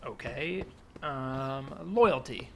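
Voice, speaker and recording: A man's voice: medium pitch, animated, very slow. Man playing an earnest militia man over noisy ambience. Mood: insistent, pointed. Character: earnest, provocative right-wing militia man, self-styled historian. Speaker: improv comedian. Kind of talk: improvised comedy scene, conversational. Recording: clearly noisy, added ambient soundscape. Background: ambient sound effects.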